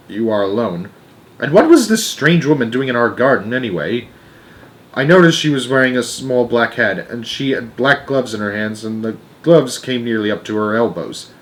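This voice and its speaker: A man with a mid-pitched voice reads a children's novel aloud. He narrates expressively, as a storyteller to listeners. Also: animated, normal speed, rough recording.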